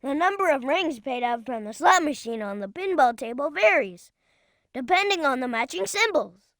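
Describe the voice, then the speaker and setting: A performer voicing a young boy fox sidekick in a video game, childlike, earnest and excitable, eager to explain things. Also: high voice, normal speed, dry recording, clean studio sound. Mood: helpful, instructive. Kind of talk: video game character voice acting.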